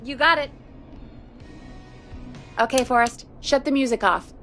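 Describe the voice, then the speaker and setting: A woman producing a radio show in a game drama. She is friendly and supportive, with a high voice. Soft music sits underneath. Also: unhurried. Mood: relieved, upbeat.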